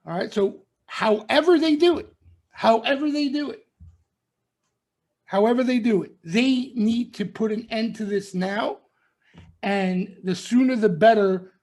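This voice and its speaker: A man's voice: high-pitched, a little slow, a little mellow. Emphatic man lecturing traders. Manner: emphatic, repeats points, lectures and warns listeners. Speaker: stock trading commentator. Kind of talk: members-only market broadcast.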